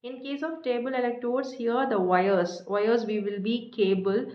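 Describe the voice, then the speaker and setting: A woman lecturer with an Indian accent gives an explanatory technical lecture in a halting, hesitant way, repeating and rephrasing as she goes. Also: fairly high pitch, fairly even intonation. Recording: echoey room, dull muffled sound.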